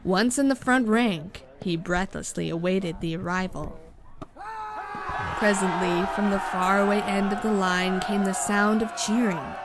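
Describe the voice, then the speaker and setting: A woman reading a storybook as narrator, in a steady, measured way. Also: mid-range voice, heavy background noise. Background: music.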